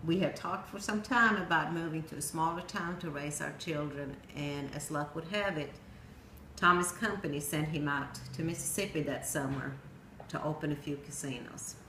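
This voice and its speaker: A middle-aged woman from Sweden with a Swedish accent, telling a personal story in plain storytelling with pauses and some non-native grammar. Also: deep voice, sharp tone. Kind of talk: personal narrative speech. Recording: echoey room with faint noise.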